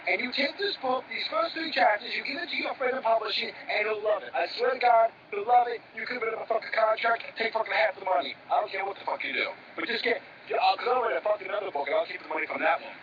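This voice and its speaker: A man's voice: sits high, quick pace, crowd noise, lightly acted. An actor playing a broke young man who pleads with his brother, cursing and stumbling over his words. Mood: pleading. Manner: profane, pushy, stammering. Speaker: struggling writer asking his brother for help. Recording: echoey, distant, dull, with background noise.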